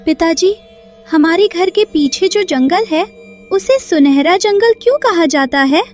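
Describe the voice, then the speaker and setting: A woman voicing a curious, eager little village girl in an animated moral story. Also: very high voice, unhurried, soft background music.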